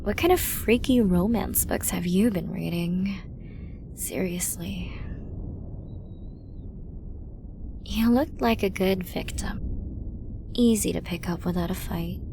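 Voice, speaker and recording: Woman acting a slow-talking, menacing, flirty villainess. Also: on the low side, gravelly, background noise. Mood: smug, mocking. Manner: teasing, menacing, slow and often whispering. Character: flirty, dominant female villain taunting a hostage. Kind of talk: ASMR roleplay audio drama.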